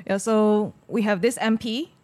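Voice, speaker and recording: A woman's voice: mid-range voice, fairly even intonation, a little slow, darkish tone. A woman with a Malaysian accent speaking as a panelist, explaining background in a conversational way.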